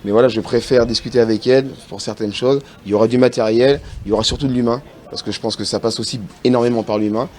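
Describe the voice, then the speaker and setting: A man from France speaking as a TV studio guest, earnest and halting, often restarting his sentences. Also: mid-range voice, fairly even intonation, darkish tone, slight background noise. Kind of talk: casual TV interview answers. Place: TV studio set.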